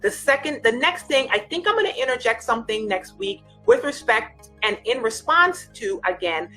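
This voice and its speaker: A woman's voice: a little high, animated, moderate pace, sharp tone. Woman life coach chatting over background music. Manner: informal, chatty, addresses listeners directly as you guys. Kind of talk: casual explainer talk to online followers.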